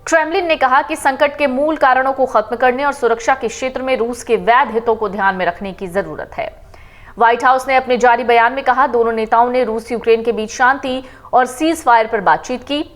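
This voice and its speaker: A woman reading a scripted news report in a steady, formal manner. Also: on the high side, fairly quick. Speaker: news reader.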